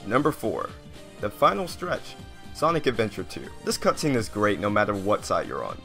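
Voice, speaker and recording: A man's voice: on the low side, big pitch swings, moderate pace, gravelly, fairly bright tone, faint music behind. A man reading a scripted countdown narration as the host of a video.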